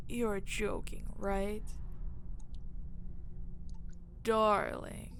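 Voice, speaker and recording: A woman playing a menacing, dominant mafia boss in an audio roleplay, speaking slowly and breathily in a condescending, teasing way. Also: mid-range voice, slight background noise. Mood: mocking, condescending. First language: Finnish. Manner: slow, deliberate, condescending, breathy near-whisper. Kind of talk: intimate dramatic audio roleplay.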